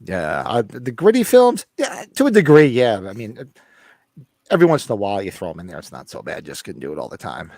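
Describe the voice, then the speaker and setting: A man's voice: lowish voice, wildly swooping pitch, fairly quick, a little mellow, no echo. An American man hosting a casual chat, rambling and blunt with some swearing, his voice recovering after being lost. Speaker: podcast host. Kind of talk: casual chat about horror movies.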